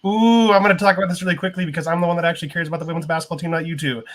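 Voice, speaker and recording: A man's voice: a little high, fairly even intonation, racing, fairly bright tone. A man co-hosting a casual sports podcast, talking in a confident, joking way. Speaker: podcast co-host.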